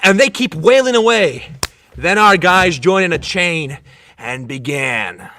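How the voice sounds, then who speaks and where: A man acting a fervent, rowdy young soccer fan at a protest, excitable, coarse and halting. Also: high voice, slight background noise, full character voice. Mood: shaken outrage. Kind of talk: dramatic monologue.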